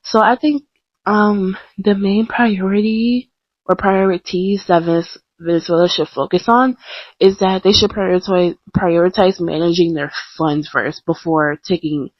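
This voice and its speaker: A woman's voice: medium pitch, husky, no room sound. A young woman talking casually in a podcast style, chatty and self-deprecating, with lots of ums and restarts. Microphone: close mic, blank screen. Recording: dull lo-fi home recording.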